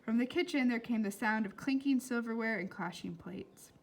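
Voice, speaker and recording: A woman's voice: on the high side, moderate pace. A woman reading a storybook aloud expressively, with dramatic emphasis and casual asides to her listeners. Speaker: read-aloud reader.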